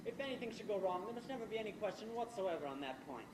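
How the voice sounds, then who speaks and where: A man acts out a middle-aged playwright with murder in mind on a theater stage. He is dryly sardonic and politely hesitant, with theatrical delivery. The recording is echoey, with clear background noise. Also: high-pitched, animated, fast talker. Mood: conspiratorial. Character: scheming middle-aged male playwright, suave, wry and murderous. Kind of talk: theatrical stage thriller acting. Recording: echoey, very noisy amateur stage play recording.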